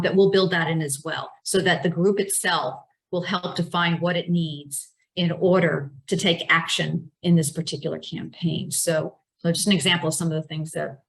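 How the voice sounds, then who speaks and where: A woman with a notably low voice presents on a video call. She speaks haltingly, with fillers and mid-sentence corrections, stopping to rephrase as she explains. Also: poor audio. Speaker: guest speaker.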